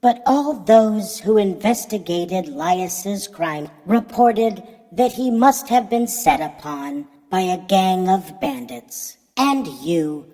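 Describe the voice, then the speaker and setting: A woman playing a calm, soothing queen with a gentle, measured and formal manner in a storybook tale. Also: lowish voice, in a large hall. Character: calm, soothing queen reassuring her husband.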